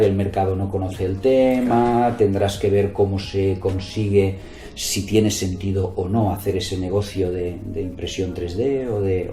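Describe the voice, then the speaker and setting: Man, low mellow voice, didactic interview talk, roomy echo, faint music behind. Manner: didactic, with rhetorical tag questions and examples. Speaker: entrepreneur and investor being interviewed. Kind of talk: conversational interview, explaining business advice.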